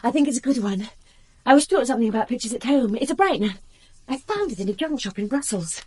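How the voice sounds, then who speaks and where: A woman's voice: a little high, animated, fast talker, darkish tone, breathy edge. An actress in a British radio drama playing a blunt, free-spirited woman in love, intimate and teasing. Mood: happy, tender. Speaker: actress and narrator.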